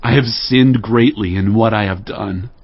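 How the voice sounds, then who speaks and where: A man's voice: fairly low pitch, measured pace, slightly rough, no room sound, highs cut off. An actor playing an earnest warrior king in a solemn, declaiming manner in a dramatized scripture production. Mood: remorseful. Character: King David, earnest adult male warrior king.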